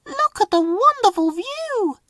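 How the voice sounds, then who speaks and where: A man voicing excitable, delighted young schoolchildren exclaiming in a children's storybook. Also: very high-pitched, measured pace, no room sound, clean studio sound, voice pushed up, heavily acted. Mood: awed. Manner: squealing, exclaiming.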